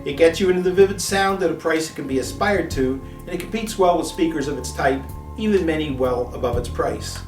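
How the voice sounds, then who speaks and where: Guy reading a scripted review, kinda echoey and off the mic, measured and articulate. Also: a little high, lively intonation. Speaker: product reviewer and presenter. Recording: echoey room, distant mic, music behind.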